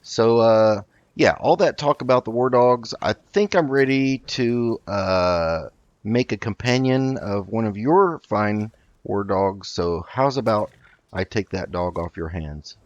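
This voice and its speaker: A man hosting a roleplay gaming video, improvising in character with a polite, halting delivery. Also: fairly low pitch, no echo, muffled recording. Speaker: gaming host.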